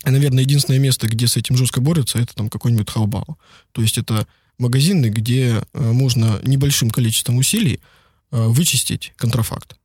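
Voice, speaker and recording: A man's voice: mid-range voice, fairly quick, a little mellow, breathy edge, no echo, clean studio sound. A man, a law firm partner, answering interview questions in a loose conversational way with many fillers. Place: lives and works in Beijing.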